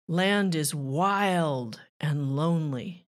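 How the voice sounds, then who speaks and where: Slow-paced woman reading aloud to children. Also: low voice. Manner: measured, deliberate phrasing addressed to children. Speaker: children's author and narrator. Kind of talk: read-aloud of a rhyming children's nature book.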